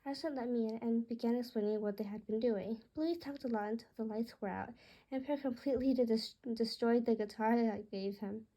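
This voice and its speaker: Female read-aloud narrator, slightly high pitch, mellow tone, unpolished delivery with occasional stumbles. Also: fairly quick, rough recording. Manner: occasional stumbles and self-corrections while reading. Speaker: author reading own book aloud.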